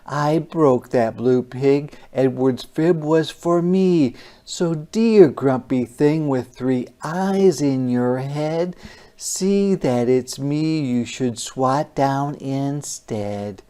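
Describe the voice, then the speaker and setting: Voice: mid-range voice, drawn-out words, warm tone. A storybook reader voicing a small, brave little sister, apologetic and pleading earnestly.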